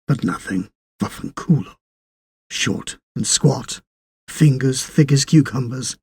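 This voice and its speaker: Slow, husky gravelly man narrating a story. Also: medium pitch, darkish tone, no echo, clean studio sound. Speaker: audiobook narrator. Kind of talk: scripted literary story narration.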